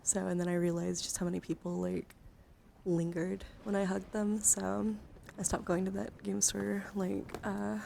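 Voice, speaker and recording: A woman livestreamer talking in a casual, rambling ASMR style, with a soft voice that often slips toward a breathy whisper, close to the microphone. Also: medium pitch, little pitch movement, normal speed, a little hiss or hum. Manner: rambling, informal. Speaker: livestreamer showing card decks.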